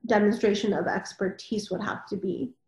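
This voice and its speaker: A woman's voice: mid-range voice, steady tone, moderate pace, a little mellow. A woman moderating a panel discussion and reading out formal requirements. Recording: roomy, echoing sound.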